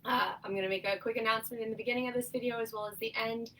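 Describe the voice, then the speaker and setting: A young woman occupational therapist leading a seated exercise class, her voice nasal and stuffed up, giving upbeat cues and steady countdowns. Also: on the high side, rapid-fire, recorded from a distance, slight background noise, poor audio. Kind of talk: instructional exercise cueing with countdowns.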